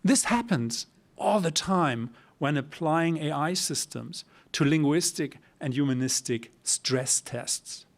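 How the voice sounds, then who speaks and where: A middle-aged man giving an academic lecture, speaking in a measured, emphatic way with pauses, then answering audience questions. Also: fairly high pitch, expressive. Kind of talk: academic talk and Q&A. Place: lecture hall.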